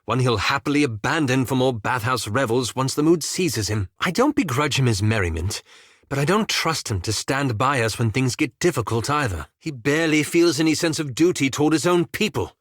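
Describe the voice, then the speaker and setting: A man's voice: mid-range voice, lively intonation, a little fast, no echo, natural voice. A man playing a cautious, bookish wizard companion in a fantasy video game, speaking formally and politely with dry, fussy reproach. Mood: wary, disapproving. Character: refined, bookish, cautious male wizard companion, easily exasperated.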